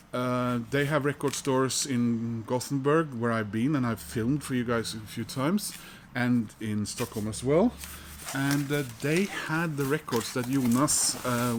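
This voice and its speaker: A man's voice: medium pitch, a little hiss or hum. A Norwegian man vlogging casually about his record purchases, talking loosely with many "uh" and "um" fillers. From Norway. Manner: loose and chatty, heavy with "uh" and "um" fillers. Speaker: vinyl collector vlogger. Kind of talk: casual rambling chat to camera.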